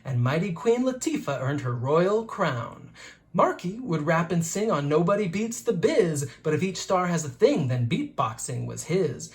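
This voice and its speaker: Teacher reading a rhyming kids book out loud, medium pitch, really animated and rhythmic. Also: unhurried. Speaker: educator reading a children's book aloud. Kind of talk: rhyming picture-book read-aloud.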